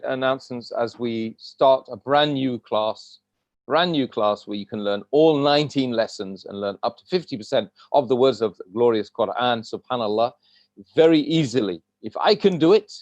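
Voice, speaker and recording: A man's voice: medium pitch, normal speed, dry recording, highs cut off. A middle-aged man hosting a live online class over a video call from home, upbeat as he reads out viewer comments and announcements. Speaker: host. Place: home room.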